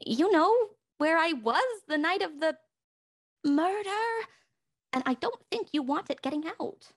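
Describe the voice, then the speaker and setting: A woman's voice: sits high, expressive, measured pace, no echo, studio quality. A young woman playing a spoiled, dramatic socialite in an audio drama. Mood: coy, uneasy. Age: young adult. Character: spoiled, indignant young actress playing a secretive socialite house guest.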